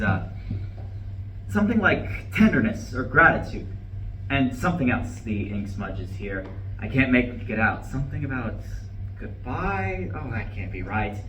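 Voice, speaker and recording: A man's voice: medium pitch, pushed and bright. A man in a live play reading, playing a nervous, self-justifying husband who rambles and corrects himself. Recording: echoey distant live room recording, faint noise.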